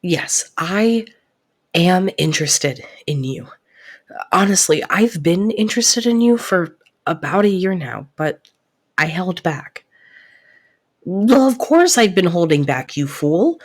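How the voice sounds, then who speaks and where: Woman acting a smitten best friend, soft-spoken, lowish pitch, earnest, reassuring, easily flustered, intimate audio roleplay. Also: very animated, takes it slowly, exaggerated voice. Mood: flustered, confessing. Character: devoted best friend confessing love, earnest, flustered, protective.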